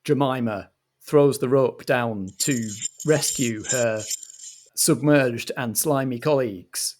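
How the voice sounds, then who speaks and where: A man's voice: medium pitch, slow, darkish tone. A man narrating an improvised audio drama as its game master.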